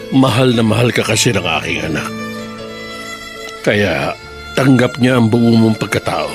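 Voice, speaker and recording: Earnest older dad, radio drama, music behind. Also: male voice, mid-range voice, gravelly. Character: Ernesto, older father pleading for his son. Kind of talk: Tagalog radio drama acting.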